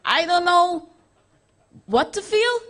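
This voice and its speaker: A woman's voice: very high voice, sing-song, takes it slowly, fairly bright tone. A young woman from Indonesia, a singer chatting with her audience on stage in a chatty, self-deprecating way.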